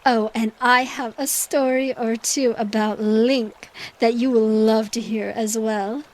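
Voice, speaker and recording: A woman's voice: a little high, steady tone, slow. A woman playing a young desert chief in a fantasy audio drama, courteous, curious and eager with a mischievous streak.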